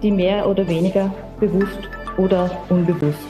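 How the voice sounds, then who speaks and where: A woman's voice: mid-range voice, steady tone, moderate pace. A woman making a scripted statement in a reverberant space, with music behind her.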